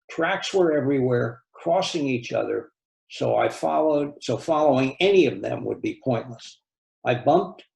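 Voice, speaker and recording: A man's voice: mid-range voice, vocal fry, a little mellow, roomy sound, rough recording. A man reading a novel aloud as a volunteer story reader, in scripted first-person narration.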